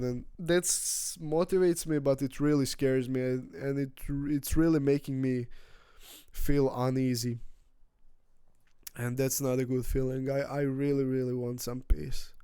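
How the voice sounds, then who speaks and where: Flat, mellow-voiced young man rambling. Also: medium pitch, clear, resonant tone, dry recording, studio quality. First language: not English. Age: 20s. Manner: rambling, halting, frequent restarts and nervous laughs. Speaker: vlogger. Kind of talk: casual personal vlog monologue.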